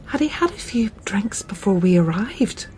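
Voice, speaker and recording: A woman's voice: medium pitch, animated, normal speed, darkish tone, a little hiss or hum, natural voice, rough recording. An actress playing a doting, concerned middle-aged mother in a naturalistic radio drama.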